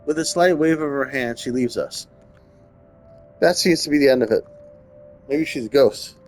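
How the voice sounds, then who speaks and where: A man with a medium-pitched voice plays a game, switching between reading aloud and casual commentary. He tends to trip over words and repeat them, with faint music underneath. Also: normal speed. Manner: stumbles and rereads while reading aloud. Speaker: gaming streamer.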